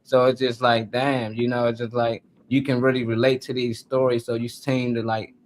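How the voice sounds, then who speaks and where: A man's voice: mid-range voice, level tone, moderate pace, slight background noise. A man hosting a live show in casual chat, talking in an informal, colloquial storytelling way. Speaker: talk show host.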